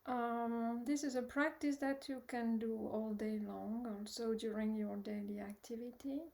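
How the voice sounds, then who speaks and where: A woman with a fairly high, mellow voice leads a guided meditation at a very slow pace. She is soft and gentle, often trailing into breathiness. She pauses long and hesitates as she gives instructions. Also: fairly even intonation. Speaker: meditation teacher.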